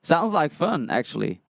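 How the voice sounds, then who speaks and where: A man's voice: fairly high pitch, creaky, no echo. A young man on a livestream, chatting casually and thinking aloud as he reads chat, recorded on a studio mic in a small room. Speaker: music reaction streamer. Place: small room with colored lights, indoors. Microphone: studio condenser mic on a stand, headphones on.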